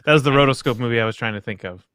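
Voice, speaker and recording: A man's voice: medium pitch, racing, fairly bright tone, smooth, dry recording, studio quality. A man in his thirties chipping into a casual group chat. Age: 30s. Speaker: podcast cast member.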